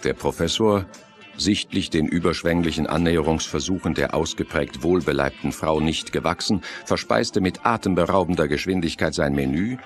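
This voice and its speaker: A man narrates a radio play from a script. His voice sits very low, and faint music runs behind it. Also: moderate pace.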